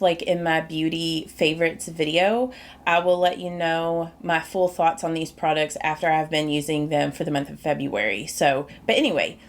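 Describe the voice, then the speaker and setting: A woman with a slightly low voice talks her viewers through a makeup review in a loose, rambling, chatty way. She sounds a little nasal and stuffed up from a cold, and her throat is slightly scratchy. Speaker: beauty YouTuber. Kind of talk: casual makeup review vlog.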